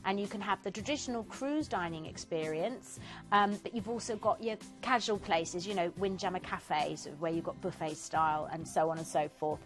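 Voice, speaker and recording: A woman's voice: fairly low pitch, normal speed, soft background music. A woman presenting cruise deals on a TV shopping channel, chatty and enthusiastic, talking through destinations with fillers. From England. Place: TV studio.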